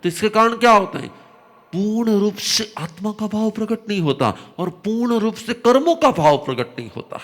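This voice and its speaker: A man teaching a religious discourse, his voice slightly hoarse, explaining slowly with repetition and emphatic rises. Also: high-pitched, fairly bright tone. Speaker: Jain spiritual teacher.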